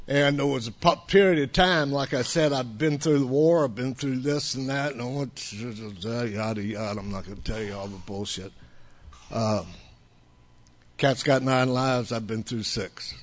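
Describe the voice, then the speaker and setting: A man in his seventies, whose first language was Italian, telling the story of his recovery to an audience in a blunt, rambling way, with frequent restarts. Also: medium pitch. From United States. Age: 70s. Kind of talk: personal testimony to an audience.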